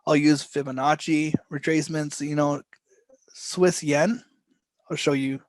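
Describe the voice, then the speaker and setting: A man running a live trading lesson, his voice hoarse and fading as he goes. He speaks slowly, in a loose, rambling way full of restarts and throat clearing. The sound is close and dry, with no room around it. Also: fairly high pitch, steady tone, dry recording. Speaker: trading instructor.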